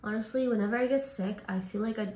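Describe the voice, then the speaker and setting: A woman from Canada, hoarse and raspy from a cold, chatting casually as a beauty vlogger through a makeup tutorial. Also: a little high, fast talker, mellow, roomy sound. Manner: chatty, says like and honestly a lot.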